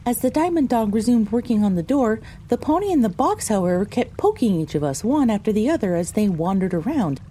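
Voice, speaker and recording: A woman's voice: a little high, big pitch swings, a little fast, hardly any pauses, mellow, slight background noise. A woman voicing a pony who narrates her own story, reading scripted first-person audio drama narration. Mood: reflective.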